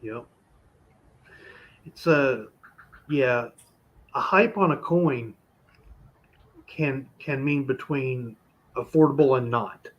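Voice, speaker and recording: A man hosting a casual livestream chat about coins, animated and talking fast in bursts. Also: mid-range voice, highs cut off. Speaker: livestream host.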